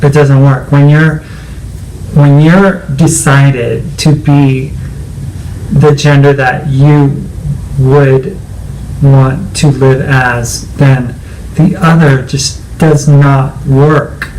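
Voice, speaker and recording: A man's voice: mid-range voice, little pitch movement, extremely slow, a little mellow, a little hiss or hum, low-quality recording. A man co-hosting a personal conversation, talking reflectively and often searching for his words. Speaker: co-host.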